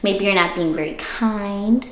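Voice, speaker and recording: A woman reading a picture book aloud to young children, animated and coaxing, pausing to ask them simple questions. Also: medium pitch, roomy sound, a little hiss or hum. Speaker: children's storytime reader.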